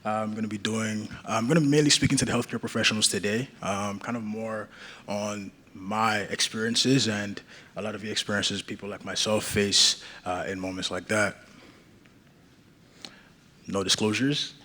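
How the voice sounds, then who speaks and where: A man's voice: fairly low pitch, steady tone, normal speed, bright, forceful tone. A young man giving an earnest conference talk as a patient advocate, with many fillers and restarts. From Nigeria.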